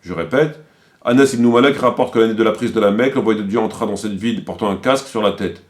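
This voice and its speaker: A man's voice: lowish voice, fast. A man delivering critical commentary, quoting sources didactically and repeating his points for emphasis.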